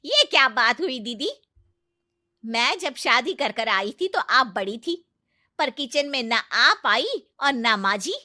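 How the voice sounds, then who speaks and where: A woman plays a bossy middle-aged aunt-in-law from a family moral story. She scolds and taunts in a high, sharp voice that swings with indignation, and the recording is dry and close. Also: expressive, takes it slowly, no room sound, exaggerated voice. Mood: indignant, angry. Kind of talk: exaggerated family-drama storytelling.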